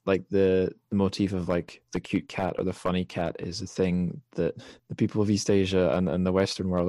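A man's voice: low voice, darkish tone, dry recording, clean studio sound. A young man hosting a podcast, chatting casually in a rambling, self-questioning, self-deprecating way. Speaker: podcast host. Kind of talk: casual conversational interview.

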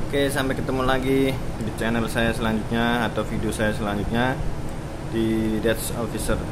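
A man's voice: medium pitch, level tone, moderate pace. A young man casually showing a ship's engine room while filming on his phone, explaining as he walks and repeating his words. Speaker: vlogger and seafarer. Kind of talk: casual walkthrough tour. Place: ship tunnel and engine control room. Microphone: handheld phone camera. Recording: loud engine noise, phone mic. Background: loud machinery hum.

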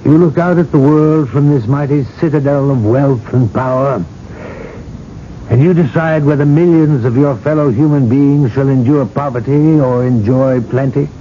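An actor playing an older male cardinal in a radio drama, speaking in a measured, rhetorical and gently needling way. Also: natural voice. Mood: grave, admonishing. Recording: muffled vintage radio broadcast, noisy rumble.

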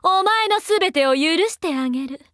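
High-voiced, doting, seductive mother figure. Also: animated, brisk, fairly bright tone, dry recording, studio quality. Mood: playful, commanding. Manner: coaxing, breathy-whispering at times, archaic feminine phrasing. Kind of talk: Japanese mobile game character voice lines.

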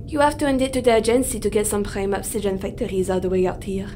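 A woman's voice: steady tone, fast talker, mellow, close to an everyday voice. A woman playing a reserved, homesick astronaut scientist in an audio drama, speaking in a terse, subdued way. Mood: factual. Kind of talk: naturalistic audio drama acting. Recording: faint rumbling background noise.

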